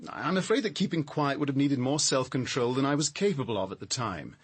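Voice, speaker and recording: An actor playing a prickly, sardonic scientist in a radio crime drama, speaking in a dry, articulate way. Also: male voice, mid-range voice, animated, a little fast, slightly rough. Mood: weary, dismissive. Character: prickly, sardonic male power station scientist.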